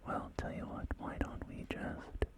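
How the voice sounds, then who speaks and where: A man playing a polite, unflappable real estate agent of the wasteland in a soft ASMR roleplay, whispering in a measured, courteous sales patter. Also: natural voice. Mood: genteel, reassuring. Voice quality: soft, breathy whisper with creaky murmur. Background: music.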